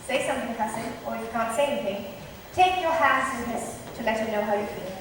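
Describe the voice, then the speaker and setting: A woman's voice: fairly high pitch, brisk, close to an everyday voice. A female amateur actor playing a witty, mocking young noblewoman with a teasing, sardonic delivery on stage. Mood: bossy, teasing. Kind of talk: amateur stage acting, school drama club. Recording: distant echoey hall, clear background noise.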